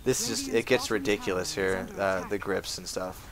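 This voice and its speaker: A man talking casually over his gameplay footage, rambling with lots of fillers, his voice going hoarse. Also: low-pitched, steady tone, normal speed. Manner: rambling, full of uh fillers, chatty. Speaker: gaming video maker. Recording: game music playing underneath. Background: game music.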